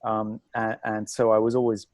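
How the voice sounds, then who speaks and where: Soft-spoken hesitant man from England. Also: lowish voice, completely flat delivery, no echo. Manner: halting, many restarts and fillers, soft-spoken. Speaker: artist guest. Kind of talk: conversational interview answers.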